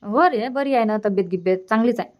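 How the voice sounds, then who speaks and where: A woman voicing a fond, gently nagging older village mother in a cartoon comedy, colloquial and insistent, with a rural Vidarbha Marathi accent. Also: a little high, fast talker, muffled recording, lightly acted. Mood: fond, agreeable.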